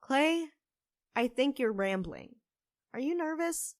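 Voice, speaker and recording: A woman's voice: high-pitched, animated, takes it slowly, dry recording, clean studio sound. A woman playing an earnest, hopeful young witch who runs a cafe, in a conversational audio drama style. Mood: playfully impatient.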